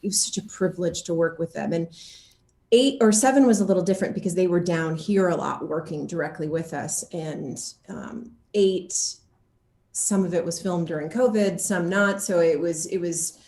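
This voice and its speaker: A woman's voice: lowish voice, normal speed, darkish tone, reverberant. A woman chatting casually as an interview guest, gushing and emphatic in her enthusiasm.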